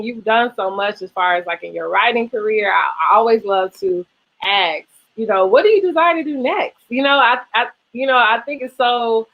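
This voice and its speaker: A woman's voice: mid-range voice, highs cut off. An American woman hosting a casual interview in an effusive, encouraging way. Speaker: interview host.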